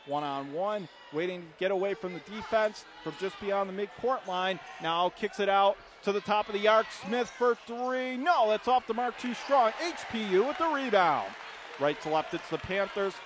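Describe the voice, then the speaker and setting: A man's voice: high-pitched, animated, normal speed, crowd noise. An American man calling live basketball play-by-play for radio in punchy, urgent phrases from courtside. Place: courtside in an indoor arena. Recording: loud gym noise behind. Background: arena ambience, bouncing basketballs.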